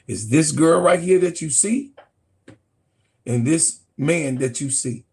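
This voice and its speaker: Middle-aged man, sports host, argumentative and profane, husky voice pitched high. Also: slow, darkish tone. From Chicago, USA. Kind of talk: heated casual sports rant.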